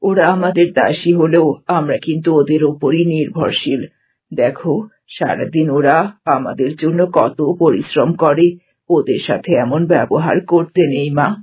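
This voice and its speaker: A man voicing a kind, dignified middle-aged queen mother in a cartoon, with a gentle, measured manner. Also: a little high, husky. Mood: gentle, admonishing. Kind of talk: cartoon voice acting.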